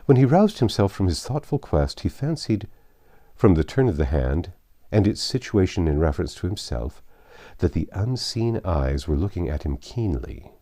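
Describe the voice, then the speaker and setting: Deep, expressive male storyteller. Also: no room sound, studio quality. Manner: measured, dramatic, deliberate phrasing. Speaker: narrator. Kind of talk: literary storybook narration.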